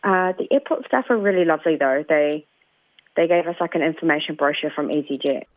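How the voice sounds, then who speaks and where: Tired twenties woman, NZ accent, hesitant, slightly low; phone-line sound. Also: a little fast, no room sound. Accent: New Zealand. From New Zealand. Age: 20s. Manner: hesitant, lots of ums. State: croaky, tired late at night. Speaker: stranded traveller. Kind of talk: casual phone interview.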